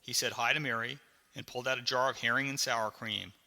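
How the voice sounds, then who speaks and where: Forceful, gravelly man narrating a memoir. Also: mid-range voice, steady tone, big-room sound. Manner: dramatic, deliberate storytelling. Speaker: author reading his memoir. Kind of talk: scripted audiobook narration.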